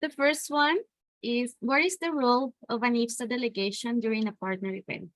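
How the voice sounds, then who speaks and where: A woman's voice: a little high, steady tone, moderate pace, fairly bright tone, no echo. A woman hosting and moderating a workshop, introducing it and putting questions to speakers.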